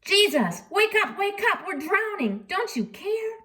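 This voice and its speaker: A woman's voice: very high voice, sing-song, a little slow, bright, forceful tone, pitched above the performer's own voice, heavily acted. A woman voicing frightened disciples on a sinking boat, pleading urgently in a children's storybook reading. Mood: panicked pleading.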